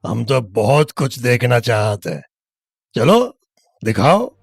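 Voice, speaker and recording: A menacing, lecherous middle-aged drunk in an audio drama. His speech is slow, slurred and gravelly, with an eastern Hindi heartland accent. Music plays behind him. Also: medium pitch, sing-song, dry recording, clean studio sound, heavily acted.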